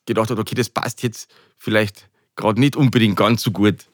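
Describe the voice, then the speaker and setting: A man's voice: fairly low pitch, steady tone, normal speed, dry recording, clean studio sound. A middle-aged man with an Austrian accent talking conversationally as an interview guest, often hesitating and repeating words as he searches for phrasing. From Carinthia, Austria.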